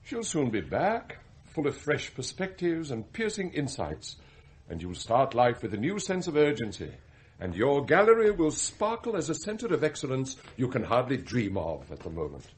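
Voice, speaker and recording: An actor playing a scheming, deferential English butler in a radio drama, formal, unctuous and theatrical. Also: male voice, medium pitch, wildly swooping pitch, moderate pace, slightly rough. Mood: smoothly consoling. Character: scheming, outwardly deferential butler, secretly anguished and devoted. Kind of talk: radio drama acting, confessional narration and dialogue.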